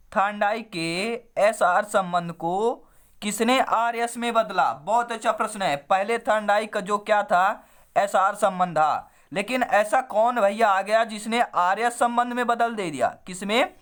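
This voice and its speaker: A man with a throat hoarse from a cold, teaching an online exam lesson by reading quiz questions and options, then repeating the answers to viewers in a familiar way. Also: high voice, a bit bright.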